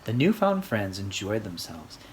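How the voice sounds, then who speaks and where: Highly animated children's storyteller. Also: moderate pace, low-quality recording. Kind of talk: expressive read-aloud of a rhyming picture book. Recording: faint background noise.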